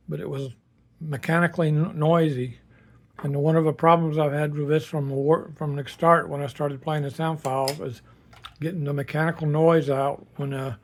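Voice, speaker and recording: A man giving a casual, unscripted demonstration as a hobbyist builder, plainspoken and matter-of-fact, with a halting delivery. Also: a little high, darkish tone. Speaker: hobbyist builder presenting a project.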